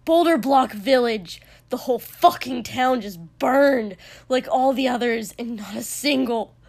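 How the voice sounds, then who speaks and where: A woman voicing a furious, volatile young man in an audiobook story, with a forceful, explosive delivery. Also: on the high side, a little slow, creaky, pitched above the performer's own voice.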